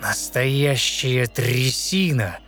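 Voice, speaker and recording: A man narrating a fairy tale in verse, dramatic and measured, pausing expressively between short phrases. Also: medium pitch, a bit bright. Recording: studio narration over music. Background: music.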